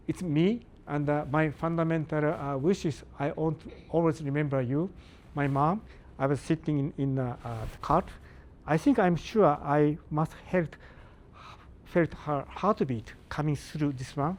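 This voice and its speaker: An older man giving a live lecture in halting, non-native English, pausing often and putting questions to the audience. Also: on the high side, darkish tone. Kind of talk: live academic talk to an audience.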